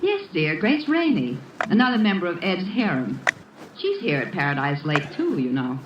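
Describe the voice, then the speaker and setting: Catty, condescending young woman for vintage radio drama; animated delivery, noisy distant recording. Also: on the low side. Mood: mocking. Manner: mocking, sugary condescension with drawn-out endearments. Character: catty, smug young woman taunting her former friend. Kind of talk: old-time radio drama acting. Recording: muffled, noisy, roomy old radio recording.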